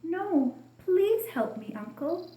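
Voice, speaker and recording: Woman as a storybook boy, raised pitch, earnest, pleading, timid, roomy echo. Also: sing-song, slow pace, warm tone, heavily acted. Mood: frightened, begging. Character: poor young boy Aladdin, dreamy and easily frightened. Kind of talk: storybook character voice.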